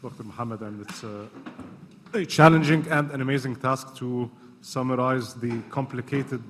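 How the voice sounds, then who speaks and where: A man's voice: mid-range voice, fairly even intonation, normal speed, a bit bright. Polite male moderator in a hall. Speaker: panel moderator. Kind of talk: moderating a panel. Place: university lecture hall. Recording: echoey hall with faint noise.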